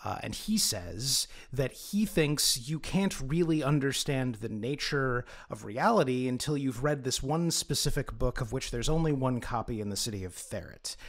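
A man playing a boastful, fussy aristocratic student in a tabletop game, telling his own story with pompous digressions. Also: mid-range voice. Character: boastful, fussy aristocratic male philosophy student, self-important. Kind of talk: in-character first-person tabletop storytelling.

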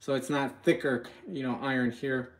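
A man's voice: mid-range voice, fairly even intonation, moderate pace. A man giving casual live product commentary in a home kitchen, speaking informally and a bit hesitantly straight to viewers. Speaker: product reviewer, channel host.

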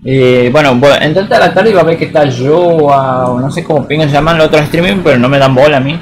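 A man's voice: on the high side, fast talker, a little hiss or hum. A man with an Argentine accent from Tucumán, chatting casually and rambling through a live game stream. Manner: rambling, slangy, says boludo often. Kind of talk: casual live-stream chat while playing.

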